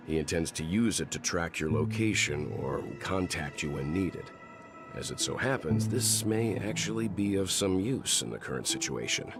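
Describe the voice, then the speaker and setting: A composed older gentleman strategist from a video game. His voice is extremely deep and measured, set over loud music. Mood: thoughtful. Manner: measured, explanatory.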